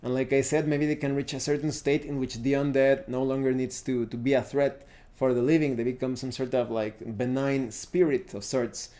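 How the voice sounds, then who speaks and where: Mellow-voiced man talking through game tips. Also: mid-range voice, flat delivery. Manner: halting, self-correcting delivery. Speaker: YouTube host reviewing roleplaying games. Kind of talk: casual unscripted explanatory talk.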